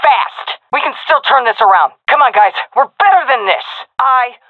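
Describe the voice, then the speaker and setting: A tough, cocky woman commando with a fairly high voice that moves around a lot. She delivers clipped, sardonic combat lines with brash swagger. It all comes through a thin, phone-like radio filter. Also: expressive, moderate pace, no room sound. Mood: confident, gritty. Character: tough, cocky, foul-mouthed female navy commando commander. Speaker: video game military character, SEAL frogman commander. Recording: narrow, phone-like radio comms.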